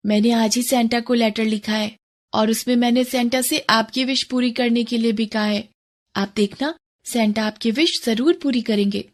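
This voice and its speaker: A sweet, humble little girl with a high voice, acted by a woman for a cartoon moral story. She talks in a gentle, pleading way, full of earnest hope. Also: steady tone, moderate pace, close to an everyday voice. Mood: reassuring.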